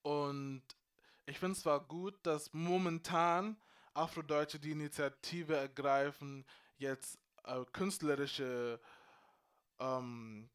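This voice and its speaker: A man with a smooth, resonant voice pitched a little high leads a relaxed group conversation. He grew up in Bavaria and slips English phrases into his German, keeping a loose, chatty flow. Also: slow. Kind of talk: casual group discussion.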